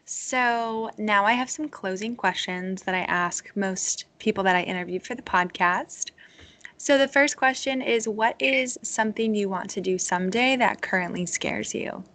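A woman's voice: mid-range voice, normal speed, fairly bright tone. A woman from Connecticut hosting a podcast interview and asking curious questions with frequent interjections. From Connecticut, United States. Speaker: podcast host. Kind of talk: casual interview conversation.

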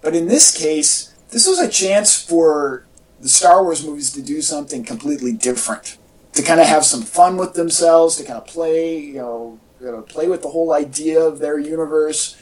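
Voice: medium pitch, normal speed, darkish tone. Rambling podcast co-host, distant from the mic. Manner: rambling, repeats and restarts phrases. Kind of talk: casual movie chat, opinionated commentary. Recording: echoey, distant-sounding home podcast audio.

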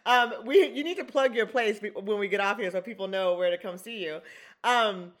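A woman's voice: medium pitch, moderate pace. A woman hosting a podcast, talking in a chatty, effusive way with frequent restarts. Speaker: podcast host. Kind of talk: casual podcast conversation.

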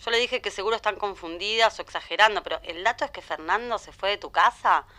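Bright, crisp Argentine gossipy friend woman acting. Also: mid-range voice, fast, lightly acted. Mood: gossipy, probing. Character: brisk, gossipy middle-aged mom friend.

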